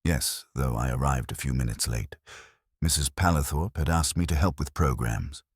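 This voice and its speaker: A man voicing a shy, nervous woman who works in a library, gentle, careful and hesitant, in a mystery story. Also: extremely low pitch, normal speed, husky, no echo, clean studio sound. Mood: uneasy hesitant.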